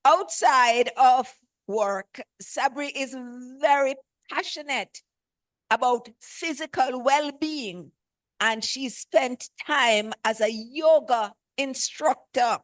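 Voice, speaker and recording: A woman's voice: sits high, extremely slow, dry recording. A woman host introducing a guest speaker with enthusiasm, and stopping now and then to correct herself. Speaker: event host.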